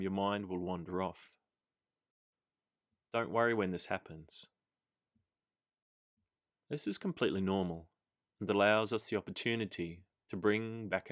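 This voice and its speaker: A man with a lowish voice leads a guided meditation. He is calm and soothing, giving gentle instructions in a measured, deliberate way.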